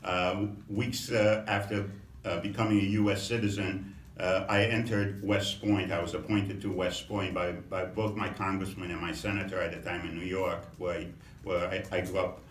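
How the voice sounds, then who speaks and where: A middle-aged man from Romania, a congressional candidate, giving a measured campaign introduction with frequent pauses and fillers. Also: deep voice, level tone, a little hiss or hum. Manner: measured, halting, many fillers. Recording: echoey room, mic at a distance.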